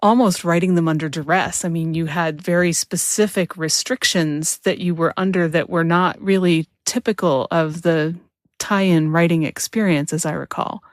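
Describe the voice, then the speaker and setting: A woman with a fairly low voice hosts a casual interview. The recording is very dry, and she talks in a loose, conversational way. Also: steady tone, normal speed, no room sound. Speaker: podcast host, interviewer.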